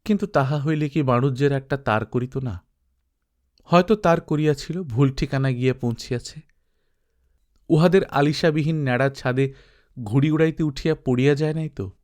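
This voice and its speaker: A man narrating a literary story as an audiobook, reading expressively and reflectively with dramatic pauses. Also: medium pitch, darkish tone.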